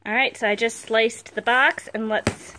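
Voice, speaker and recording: A woman's voice: on the high side, fairly even intonation, measured pace, bright, forceful tone, rough recording. A woman hosting a book vlog, giving casual unboxing commentary in an informal, chatty way. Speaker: book blogger and video host. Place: carpeted room at home.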